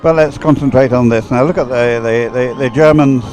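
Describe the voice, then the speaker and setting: Guy calling a race live, mid pitched, halting with dry asides, bit of music behind. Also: darkish tone. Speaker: race commentator.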